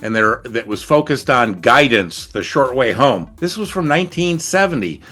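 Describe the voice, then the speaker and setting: Male podcast host, bright voice, eager earnest questions, faint music. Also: mid-range voice, very animated, moderate pace. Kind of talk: conversational podcast interview.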